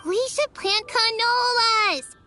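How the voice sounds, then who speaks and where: A woman's voice: very high voice, slow pace, over loud music. A woman voice acting a small, earnest, innocent child in a video game, with a childlike manner.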